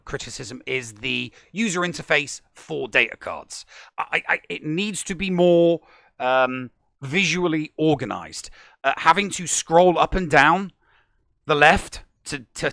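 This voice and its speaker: A man co-hosts a gaming podcast in a casual, animated way, tripping over his words when he gets excited. His voice is bright and gravelly and sits a little high. It is recorded close and dry. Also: no echo. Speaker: podcast co-host.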